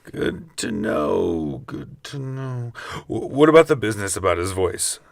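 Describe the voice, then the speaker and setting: An eager, nervous rookie henchman for comic radio theatre. The voice is low and creaky, with casual, halting phrasing. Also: expressive, dry recording, clean studio sound. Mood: incredulous, uneasy. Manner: hesitant, stammering, casual. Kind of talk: comedic radio theatre acting.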